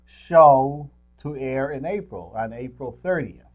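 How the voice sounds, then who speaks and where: An older man with a mid-pitched voice co-hosts a casual film-review show. He talks in a loose, rambling way, and the recording is slightly dull and lo-fi. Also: slow. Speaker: co-host. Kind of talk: casual movie-review chat.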